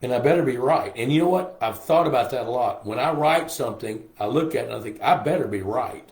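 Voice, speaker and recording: Older guy, hoarse, rambling folksy livestream chat in an echoey room. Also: mid-range voice, lively intonation, fast talker, darkish tone. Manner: chatty, folksy, reads viewer comments aloud. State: hoarse from a lingering cough. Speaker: host. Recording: roomy echo on a live stream.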